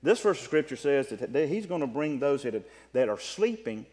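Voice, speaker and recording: A man preaching a sermon, teaching from the Bible in an emphatic way that swings between loud and soft. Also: medium pitch, sing-song, normal speed. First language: English. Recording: long reverb of a hall.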